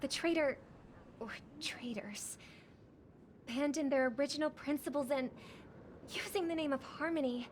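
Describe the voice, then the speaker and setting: A woman voicing a gentle, idealistic young female singer in a video game, speaking in a measured, softly formal way. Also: high-pitched, slight background noise, close to an everyday voice. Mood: grave, regretful. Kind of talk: scripted video game voice acting. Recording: music behind the voice.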